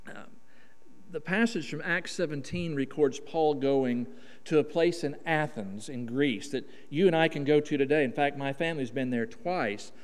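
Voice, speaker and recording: A man's voice: medium pitch, smooth. A man in his fifties from Oklahoma with a Southern drawl, preaching a folksy, emphatic sermon as a guest preacher in a church. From Oklahoma, USA. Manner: folksy, emphatic, drawn-out vowels. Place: church sanctuary. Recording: reverberant church hall.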